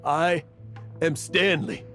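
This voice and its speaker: An actor playing an aging adventurer in a video game, a theatrical blusterer hiding guilt and grief. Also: male voice, fairly high pitch, slow pace, bright, forceful tone, faint music behind. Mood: grieving. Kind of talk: video game character acting.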